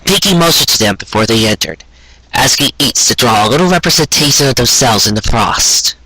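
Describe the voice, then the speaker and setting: A man reading a scripted story aloud in a gentle, measured storybook manner with deliberate pauses. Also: medium pitch, lively intonation, slightly rough, no echo, poor audio. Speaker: fan fiction audiobook reader.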